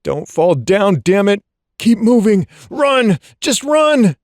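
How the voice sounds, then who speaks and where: A man's voice: high voice, big pitch swings, slow, a little mellow, no echo, studio quality, voice pushed up, heavily acted. A man voicing a terrified, guilt-ridden young man fleeing for his life, pleading frantically in an audiobook thriller. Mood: panicked, pleading. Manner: frantic, pleading, urgent repeated exclamations. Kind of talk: audiobook thriller character acting.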